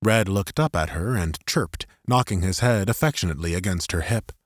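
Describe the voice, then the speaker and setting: A man's voice: low voice, normal speed, no room sound, clean studio sound. A man reading scripted third-person fiction narration as an audiobook narrator.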